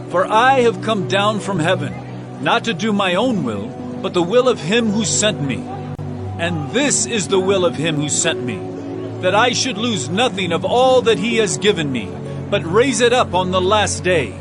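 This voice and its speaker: A man plays a solemn, authoritative male teacher from a Bible audio drama. His voice is raised high and moves with lively, earnest emphasis. Music plays clearly behind him.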